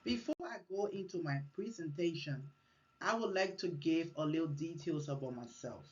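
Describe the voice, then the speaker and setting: A woman's voice: deep voice, animated, normal speed, a little mellow, lo-fi sound. A woman from Liberia, giving an earnest recorded class presentation, partly read and with small restarts. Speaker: student presenter.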